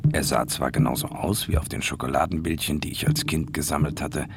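Deep-voiced, wary private detective. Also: male voice, expressive, brisk, creaky, full character voice. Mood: suspicious, reflective. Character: wary, world-weary male private detective in space. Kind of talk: noir radio drama narration.

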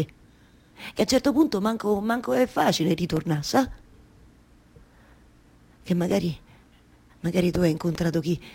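A woman acts a long-waiting wife from a radio play, with a husky voice and a Roman accent. She is weary, exasperated and tender at once. Her theatrical monologue circles back on words and phrases, halting and starting again. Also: medium pitch. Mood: hushed, melancholy.

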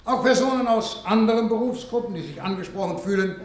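Radio play: male actor as officious senior director, high voice for a man, formal bureaucratic address, echoey room. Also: a little fast, natural voice. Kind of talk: German radio play acting. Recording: echoey hall sound.

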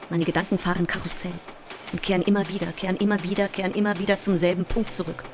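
A woman playing a sleepless, overwrought actress close to breakdown in a theatrical monologue, intense and fragmented, often slipping into breathy whispers. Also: sits low, slow, background noise. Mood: frantic. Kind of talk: theatrical audio drama monologue.

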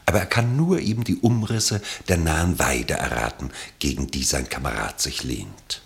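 Narrator for radio drama: male, low and heavily breathy-rough, measured scripted storytelling with a dry irony. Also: lively intonation.

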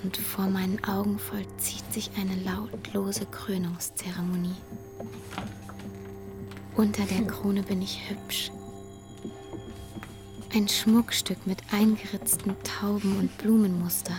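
A woman's voice: mid-range voice, flat delivery, slow pace, pauses often, lightly acted. An actress playing an earnest young girl who tells her own story in an intimate radio drama. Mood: hushed, wistful. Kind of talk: radio drama narration and dialogue. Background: music.

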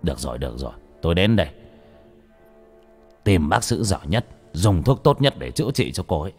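A man voicing a stern, hot-tempered old father who shouts and threatens. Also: sits low, big pitch swings, brisk, slightly rough, soft background music, heavily acted. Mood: curt.

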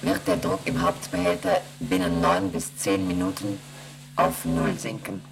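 A man voicing an emotionless onboard computer in a radio play, reading out readings and rules in a flat, mechanical way. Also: sits low, a little slow, noisy background. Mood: flat reporting. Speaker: ship's computer.

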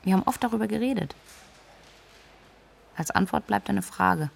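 A woman's voice: mid-range voice, moderate pace, creaky. A woman playing a candid, independent young woman in an interview-like German radio drama monologue. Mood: wistful. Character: independent single young woman, candid about missing flatmate.

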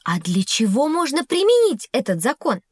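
Casting: enthusiastic young schoolgirl, high, very animated intonation, polite, educational radio play. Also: moderate pace, husky, no echo, full character voice. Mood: curious. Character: bright, inquisitive schoolgirl Masha, polite and enthusiastic. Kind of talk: scripted educational audio drama.

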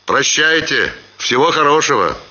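A man voicing a genial, careless, bored country gentleman in a literary audio story. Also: fairly high pitch, big pitch swings, normal speed, highs cut off, pitched above the performer's own voice, heavily acted. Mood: polite. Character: bored, easygoing middle-aged gentleman landowner.

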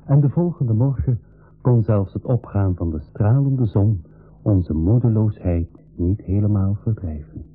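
A man's voice: on the low side, a little slow, husky. A man playing an earnest narrator who recounts his own adventure in a scripted radio play. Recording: dull old radio recording with music.

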